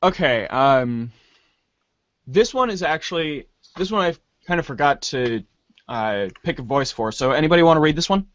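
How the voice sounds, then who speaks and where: A man's voice: on the high side, animated, normal speed, a bit bright, no room sound. A man hosting and narrating an amateur reader's theater, in a muffled lo-fi recording. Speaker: host and narrator.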